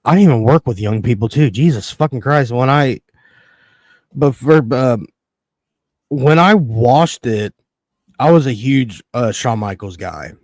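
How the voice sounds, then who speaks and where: A man in his thirties from Connecticut, hosting a casual wrestling podcast, excitable and quick to swear and laugh. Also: medium pitch, no echo. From Connecticut, USA. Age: 30s. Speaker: podcast host.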